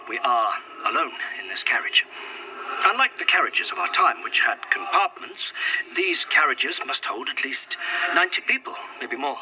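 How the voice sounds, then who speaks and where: An actor playing a devoted, courtly Victorian gentleman in a gothic audio drama, speaking in a formal, earnest, old-fashioned way. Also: mid-range voice, sing-song, normal speed, noisy background. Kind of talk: found-footage gothic audio drama acting.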